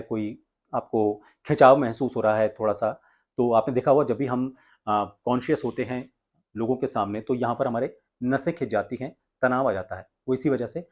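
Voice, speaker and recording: A man from India presenting an instructional tutorial as a voice coach, explaining exercises step by step. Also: mid-range voice.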